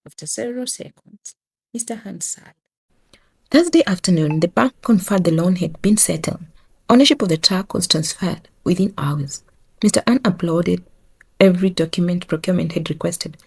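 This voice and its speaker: Husky woman narrating a dramatic story. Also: on the low side, moderate pace, darkish tone.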